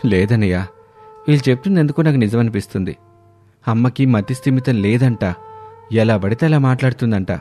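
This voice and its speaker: A man's voice: mid-range voice, brisk, long pauses, warm tone, close to an everyday voice. A man voicing a puzzled, earnest husband in a cartoon family story. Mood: wary. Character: grown sons, puzzled husbands in a family tale. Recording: music under the voice.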